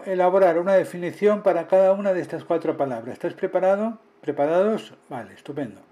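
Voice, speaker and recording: A man with a notably high voice interprets a conference talk live. He follows the speaker in short bursts and corrects himself mid-phrase as he goes. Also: fast talker, mellow, lo-fi sound. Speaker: simultaneous interpreter.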